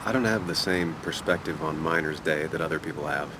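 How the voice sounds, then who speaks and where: A man's voice: extremely low pitch, fairly quick, noisy background. An actor playing a guarded, dry-witted American journalist in his thirties, understated, in naturalistic video game style. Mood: guarded, friendly.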